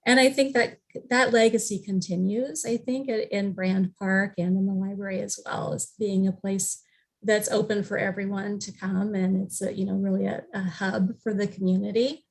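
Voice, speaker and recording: A woman explaining local history conversationally on a panel, with tentative, measured phrasing. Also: medium pitch, steady tone, gravelly.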